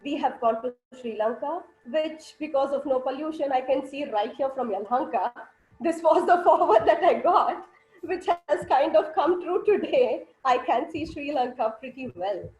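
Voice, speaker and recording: Hoarse woman as club president, cheerful storyteller, slightly high voice, echoey room, faint music. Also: expressive, normal speed, a little mellow. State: hoarse from a cough. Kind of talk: online meeting feedback speech.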